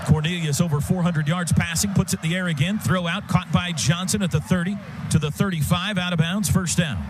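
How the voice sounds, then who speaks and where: A man's voice: high-pitched, fairly even intonation, a little fast. An American man calling live football play-by-play on the radio in short clipped phrases. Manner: clipped phrases, calls action as it unfolds. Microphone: broadcast booth microphone. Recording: loud stadium noise behind the voice. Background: loud outdoor stadium ambience.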